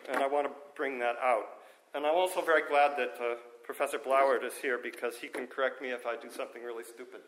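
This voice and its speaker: A man's voice: mid-range voice, fairly even intonation, fast talker, fairly bright tone, reverberant, rough recording. A man giving a technical conference talk to a room, informal and self-correcting, with a strong speaking voice, checking in with the audience as he goes. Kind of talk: technical lecture on hearing and audio. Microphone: handheld or stand microphone.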